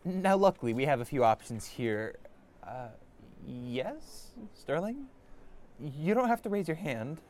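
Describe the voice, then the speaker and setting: A man's voice: fairly high pitch, sing-song, a little slow, darkish tone, a little hiss or hum. A man playing an earnest, hesitant young wizard scholar explaining things in a comedic fantasy audio drama.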